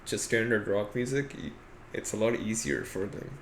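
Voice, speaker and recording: A man's voice: fairly low pitch, normal speed. A young man co-hosting a podcast, giving his opinions in a casual, conversational, musing way. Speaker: podcast co-host. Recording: clearly noisy amateur podcast audio.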